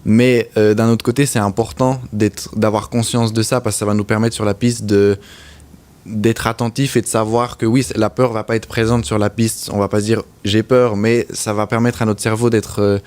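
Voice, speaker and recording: A young man with a Swiss French accent answering interview questions in an earnest, steady way, using lots of little fillers. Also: lowish voice, fairly quick. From French-speaking Switzerland. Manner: earnest, steady, with frequent "ben" and "on va dire". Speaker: motorcycle racer guest.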